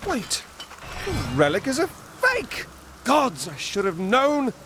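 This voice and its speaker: A man voicing a roguish, smooth-talking thief who is sly and flirtatious. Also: extremely high pitch, huge pitch swings, slow, heavily acted. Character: roguish charming male thief and scoundrel. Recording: noisy background.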